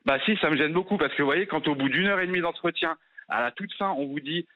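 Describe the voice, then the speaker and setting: A man calling into a radio show, giving an impassioned, indignant testimony. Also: fairly high pitch. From France. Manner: impassioned, indignant, rapid-fire. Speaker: caller. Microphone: phone. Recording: muffled lo-fi phone line.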